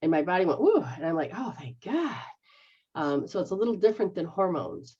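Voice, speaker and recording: A woman's voice: lowish voice, very animated, brisk, warm tone, breathy edge, dull audio. A middle-aged woman, a metaphysical practitioner, explaining healing techniques in a rambling, casual way and checking that she is understood. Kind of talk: casual instructional chat.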